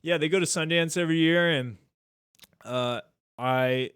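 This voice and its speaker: A man's voice: a little high, a little slow, fairly bright tone, clean tone, no room sound, studio quality. A man in his thirties hosting a podcast, chatting casually in a laid-back, rambling way that is full of "like" and "dude". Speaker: podcast host. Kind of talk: casual chat.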